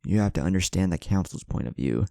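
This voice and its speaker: A man's voice: deep voice, animated, quick pace, creaky, mellow, dry recording, clean studio sound. A man voicing an apologetic, reasoning male village leader in a ninja story, speaking earnestly and formally. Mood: earnest explaining.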